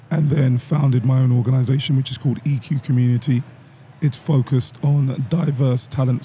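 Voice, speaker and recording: Man, husky and mellow, thoughtful founder guest; faint background noise. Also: mid-range voice. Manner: thoughtful, pausing with fillers. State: husky voice today. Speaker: guest, founder of a talent platform. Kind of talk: conversational podcast interview.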